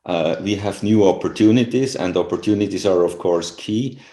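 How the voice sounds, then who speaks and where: Middle-aged swiss guy, low voice, thinking out loud, lots of "you know" and "right?", roomy echo. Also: normal speed. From Switzerland. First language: not English. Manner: thoughtful, frequent "you know" and "right?" asides. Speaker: interviewed scientist. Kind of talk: conference interview, conversational. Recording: echoey room.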